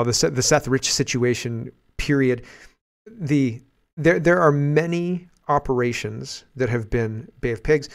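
A man hosting a commentary podcast, reacting to clips in a rambling, conversational way and correcting himself often. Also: mid-range voice. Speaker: podcast host. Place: indoors, dark home studio. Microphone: large studio mic on boom.

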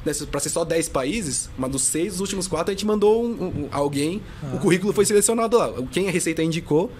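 A man in his thirties, a customs tax auditor, talking fast as a guest in a casual podcast interview, with many restarts and fillers. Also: fairly high pitch, a little hiss or hum. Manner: fast, animated talk full of restarts and fillers.

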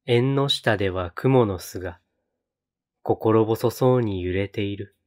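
A man reciting poetry in a solemn style, with measured, deliberate phrasing and pauses between lines. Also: lowish voice, darkish tone. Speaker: poetry reader on a read-aloud channel.

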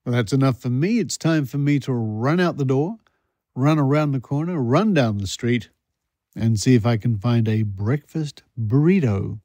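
Mellow-voiced man hosting a podcast. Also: mid-range voice, lively intonation, moderate pace, no echo. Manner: playful, wry asides. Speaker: podcast host. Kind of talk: scripted, conversational podcast introduction.

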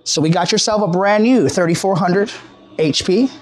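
A man's voice: high-pitched, moderate pace, soft background music. An American man in his thirties, a shop technician explaining and selling in a casual, salesman-like way. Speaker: radio repair shop technician. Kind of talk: casual product demo and shop pitch. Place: small cluttered radio repair shop.

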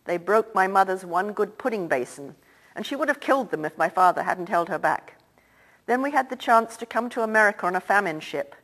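A woman's voice: medium pitch, lively intonation, brisk, fairly bright tone, rough recording. A woman reading the part of an older, wealthy Irish-born theatre man who talks in a confiding, lilting way. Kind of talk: audiobook character reading.

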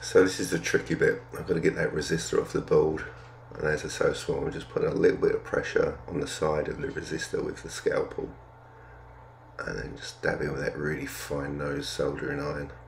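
An older man talking casually through a hobby vlog, explaining things in understated, hesitant, piecemeal phrases with a gravelly voice. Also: rumbling low, level tone, fairly quick, slight background noise. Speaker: amateur radio hobbyist vlogger.

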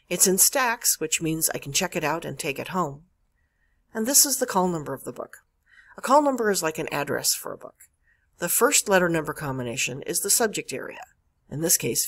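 A woman narrating an instructional screencast as a librarian, explaining step by step with emphasis on key words. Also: low voice. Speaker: college librarian giving a catalog tutorial. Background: screen-recorded voice-over.

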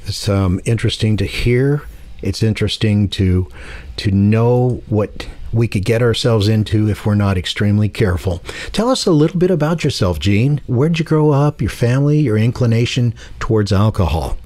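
Low, mellow-voiced male podcast host. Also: moderate pace. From San Francisco Bay Area. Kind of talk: casual interview.

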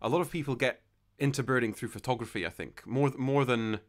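A man's voice: mid-range voice, a little fast. Rambling young man hosting a podcast. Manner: rambling, many restarts and fillers. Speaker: podcast host. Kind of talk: casual interview chat. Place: small room, video call. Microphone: studio mic with headphones.

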